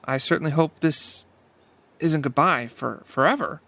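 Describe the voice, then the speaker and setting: Man acting a creaky, courteous starship captain. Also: on the high side, bright, forceful tone, no room sound, exaggerated voice. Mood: wistful. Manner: halting, polite. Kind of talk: audio drama acting.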